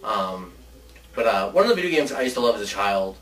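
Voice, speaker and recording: A man's voice: fairly low pitch, big pitch swings, a little fast, a bit bright. A man co-hosting a casual chat show, sharing ideas in an informal, rambling way. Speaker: co-host of a movie ideas show. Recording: distant mic with faint background noise.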